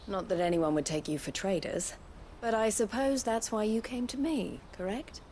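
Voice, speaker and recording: An actress playing a shrewd, haughty merchant in a video game, with a wry, businesslike manner. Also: female voice, mid-range voice, unhurried, darkish tone, a little hiss or hum, lightly acted. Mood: measured, knowing. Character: brisk, shrewd, haughty female caravan owner.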